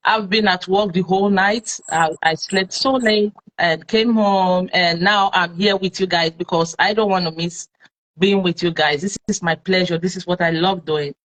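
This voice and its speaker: A woman's voice: lowish voice, fairly even intonation, moderate pace, rough recording. A Nigerian woman hosting a live-streamed talk show, chatting with followers and leaning on plenty of fillers. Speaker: host.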